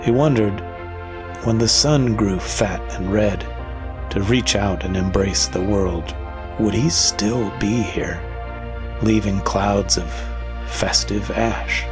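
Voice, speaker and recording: A man narrating a bleak audio drama tale as a somber storyteller, slow, measured and grave. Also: low-pitched, over music. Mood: somber, melancholy.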